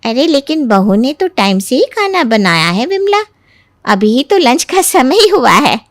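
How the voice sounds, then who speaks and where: A woman plays a mild middle-aged aunt in a cartoon moral tale. Her fairly high voice swings expressively as she gently advises and chides. The recording is very dry. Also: sing-song, a little slow, dry recording. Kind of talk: Hindi animated moral story acting.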